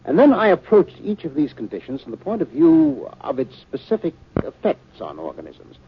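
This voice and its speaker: An actor playing an earnest, respectful young scientist explaining himself in 1950s radio drama style. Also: male voice, on the high side, huge pitch swings, normal speed, slight background noise. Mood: eager, earnest. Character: earnest, deferential young male scientist inventor. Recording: muffled 1950s radio recording.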